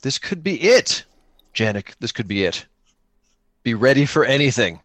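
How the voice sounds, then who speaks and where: A man's voice: medium pitch, sing-song, moderate pace, a bit bright, dry recording. A man co-running an improvised tabletop game, narrating casually and thinking aloud in a joking way. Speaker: co-player running a solo-engine tabletop game.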